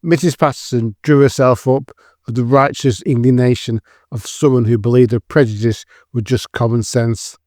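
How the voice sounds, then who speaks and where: A man's voice: medium pitch, steady tone, moderate pace, a little mellow, dry recording, clean studio sound. A man reading scripted dramatic narration as the narrator of an audio drama.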